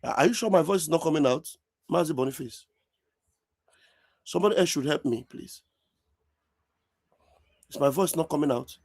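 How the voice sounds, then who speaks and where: Emphatic, animated man. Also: fairly high pitch, normal speed, creaky, pauses often, no echo, clean studio sound. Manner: emphatic, preachy, repeats phrases. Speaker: online show host. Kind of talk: political commentary on a live stream. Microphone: computer mic on a live video call.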